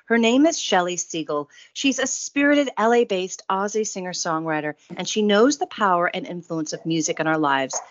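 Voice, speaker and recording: A woman with a slightly low voice hosts a music interview show. She talks casually, in a gushing and admiring way. The recording is very dry and somewhat muffled. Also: animated, normal speed, few pauses, dry recording.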